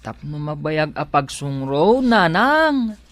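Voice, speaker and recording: A man plays a cheeky, stubborn village son in an Ilocano comedy radio drama. He drawls slowly with an Ilocano accent, his pitch swinging widely as he protests. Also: sing-song, a bit bright, exaggerated voice. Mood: cheeky, protesting.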